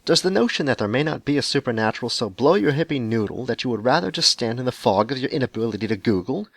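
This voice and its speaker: A man's voice: medium pitch, expressive, fast, gravelly, fairly bright tone, no room sound, studio quality. A man who hosts a podcast, performing a dramatic spoken-word poem in rhyme with sardonic, emphatic delivery and blunt swearing. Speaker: podcast host. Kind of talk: dramatic beat poetry recitation.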